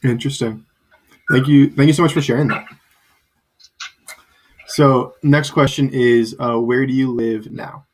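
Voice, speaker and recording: A male student runs an interview for a class, and his voice sits at a middle pitch. He is polite and reads his questions with frequent hesitations. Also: fairly even intonation, measured pace, long pauses, poor audio. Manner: polite, with many ums and uhs. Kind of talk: scripted interview questions over a call.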